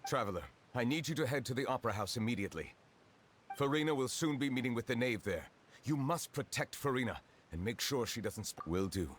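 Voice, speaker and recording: An actor playing a dignified man of high office in a fantasy video game, formal and commanding. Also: expressive, brisk, a little hiss or hum, lightly acted. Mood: urgent, formal. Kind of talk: animated video game acting.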